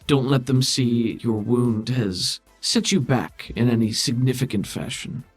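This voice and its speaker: A man playing a cool, scheming, condescending political mastermind who speaks formally and with measured authority in a tabletop game. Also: mid-range voice, husky, faint music behind. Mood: calculating.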